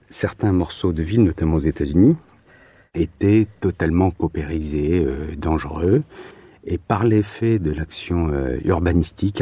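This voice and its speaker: Male interview guest, forties, very low and mellow voice, hesitant and reflective delivery. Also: slightly rough, no room sound. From Marseille, southern France.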